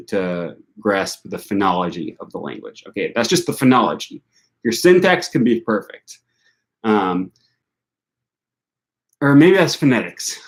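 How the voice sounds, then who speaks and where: Young American man with a northern US accent and a fairly low voice. Casual, unscripted talk full of ums and restarts. He keeps correcting himself mid-sentence. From United States. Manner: rambling, self-correcting, many fillers. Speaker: language learning channel host. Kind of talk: casual answer to viewer questions.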